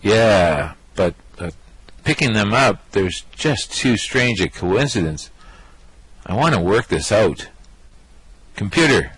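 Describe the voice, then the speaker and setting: A man playing a brash, vain, excitable space rogue in a radio play, with cocky, slangy bluster. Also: on the low side, takes it slowly, pauses often, husky, no room sound, heavily acted, low-quality recording. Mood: baffled, irritated. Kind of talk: amateur radio play acting.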